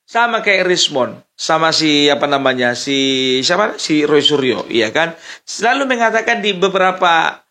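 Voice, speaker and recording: A man's voice: a little high, expressive, normal speed, sharp tone. A man from Sumatra, hosting a casual political commentary monologue, laughing often as he mocks and speaks straight to his viewers. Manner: mocking, laughing often, addresses viewers directly. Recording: noisy background.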